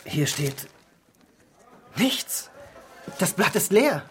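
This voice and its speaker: A man's voice: high-pitched, sing-song, very slow, fairly bright tone, slight background noise, heavily acted. An actor in a German radio drama playing an earnest, inexperienced young man, polite, deferential and a little hesitant. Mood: surprised, puzzled. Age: young adult.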